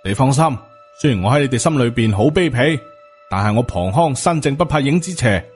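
A man's voice: mid-range voice, expressive, a little fast, a little mellow, soft background music, natural voice. A man with a Hong Kong Cantonese accent voicing a proud, indignant martial uncle in an audiobook, scolding with cold sarcasm. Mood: angry, scornful.

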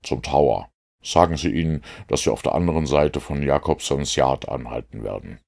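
A man's voice: rumbling low, moderate pace, creaky, darkish tone, heavily acted. A man reading the part of a self-assured, analytical detective in an audiobook, speaking precisely and with command. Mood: brisk, commanding.

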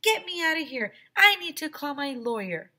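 Woman playing furious storybook lady, high-pitched, loud, drawn-out hollering, indignant. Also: big pitch swings, full character voice. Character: angry, litigious woman shouting from down a hole.

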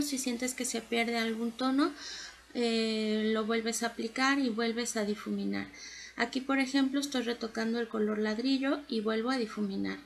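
A woman talks through a makeup tutorial. She is chatty and instructive in a casual vlog style. She was recorded at home in a bedroom, with clear background noise. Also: a little high. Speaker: beauty vlogger.

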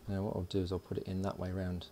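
Guy with a really low voice, thinking out loud as he builds stuff, bit of background noise. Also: completely flat delivery. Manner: unhurried, thinking aloud while working. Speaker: host of an electronics build video. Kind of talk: casual hands-on tutorial narration. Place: indoors at a workbench.

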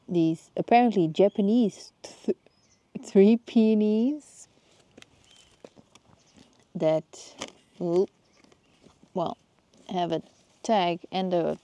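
A Dutch woman with a slightly low, mellow voice chats her way through a garden vlog. She talks slowly and stops to hunt for words as she thinks aloud. Her phone picks her up outdoors in the garden. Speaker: gardening vlogger.

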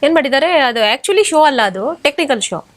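A woman with a somewhat high voice recounts an anecdote as a drama instructor. Her delivery is casual and conversational, with plenty of expression. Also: animated, fairly quick.

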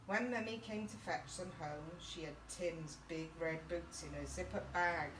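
A woman's voice: lowish voice, a little slow. A middle-aged British woman reading a picture book aloud to young children, often putting questions to them. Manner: child-directed, asking listeners questions. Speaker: story time host reading to children. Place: small indoor youth group playroom. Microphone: camera a couple of metres away. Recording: echoey room, distant mic, faint background noise.

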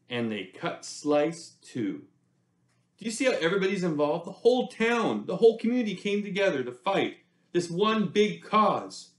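Children's storyteller: American man, high-set and very lively delivery, theatrical, talks straight to the kids. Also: echoey room. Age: middle-aged. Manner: animated, theatrical, addresses young listeners directly. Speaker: children's read-aloud presenter. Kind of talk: expressive picture-book read-aloud with chatty asides. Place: small indoor room.

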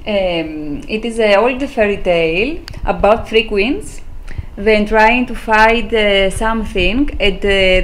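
A woman, explaining things as a tour guide and searching for words in English. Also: medium pitch. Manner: halting, searching for words in non-native English. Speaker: museum founder giving a tour. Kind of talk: interview answers, explaining. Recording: clear ambient background noise.

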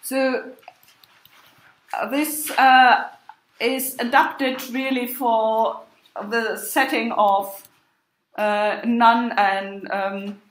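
A woman giving an academic lecture as a university lecturer, with a halting, hesitant delivery. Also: a little high, fairly even intonation. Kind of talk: academic lecture with examples chanted and recited. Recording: roomy, echoing sound.

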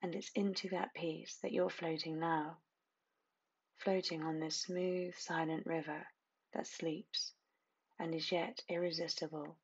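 A woman reads a hypnotic guided meditation in a low voice with a husky, breathy edge. Her delivery is very slow and hushed, with phrases repeated soothingly between long pauses. The recording sounds dull and muffled. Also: little pitch movement.

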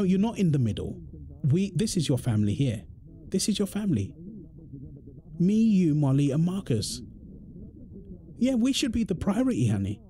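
A man's voice: sits high, mellow, slight background noise. A British man acting an exasperated, protective husband in a confrontational audio roleplay drama, speaking emphatically. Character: exasperated, protective husband arguing with his overbearing mother-in-law. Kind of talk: ASMR roleplay drama monologue.

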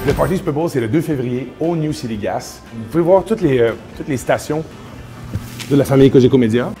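A man in his thirties with a Québécois French accent, chatting casually as he walks through a radio station's offices and studio on a lapel mic, smooth and self-deprecating. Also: mid-range voice, fairly quick, music in the background. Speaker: event host and radio personality. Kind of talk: casual vlog narration.

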